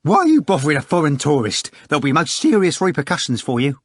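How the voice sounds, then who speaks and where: A man voicing a sleazy, clowning ex-choirmaster with a shrill, mocking, wheedling manner. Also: huge pitch swings, fast, dry recording, studio quality. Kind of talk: audiobook character voice.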